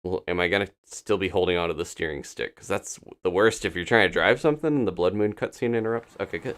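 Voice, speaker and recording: A man's voice: low voice, quick pace, a bit bright. A man giving casual live gameplay commentary, playfully exclaiming and talking to himself as he plays. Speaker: video game streamer and host.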